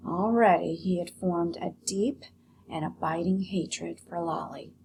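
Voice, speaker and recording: A woman teacher giving a read-aloud lesson, explaining and narrating a children's story in an expressive, instructive way. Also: lowish voice, a little slow, slight background noise, poor audio.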